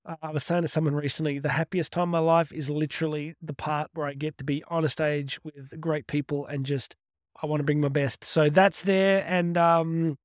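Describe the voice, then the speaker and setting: A man, giving an energetic, conversational motivational podcast talk on his own and often restarting his words. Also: on the high side, normal speed, dry recording, studio quality. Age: 50s. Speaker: motivational speaker. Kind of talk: solo motivational podcast monologue.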